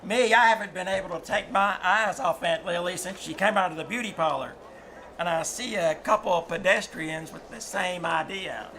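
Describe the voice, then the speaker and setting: A teasing kid brother played by a male actor tosses out wry wisecracks. The style is live 1940s-style radio comedy. The theater recording is clearly noisy. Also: sits high, moderate pace.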